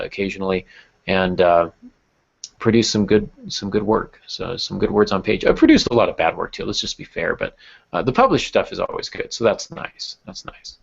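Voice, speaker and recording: Man, podcast host, low pitch, conversational, thinking aloud, dull lo-fi recording. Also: gravelly. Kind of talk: casual conversational podcast talk. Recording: dull lo-fi audio.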